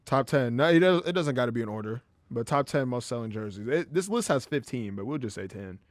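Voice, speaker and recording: A man co-hosting a casual sports podcast, talking fast and getting animated, his voice jumping up high when excited. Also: no room sound. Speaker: podcast co-host.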